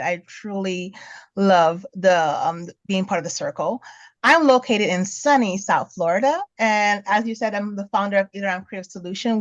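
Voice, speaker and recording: A woman's voice: mid-range voice, dry recording. An upbeat woman business coach introduces herself, laughing easily as she talks. Her audio is dull and lo-fi with a dry, roomless sound. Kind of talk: panel introduction.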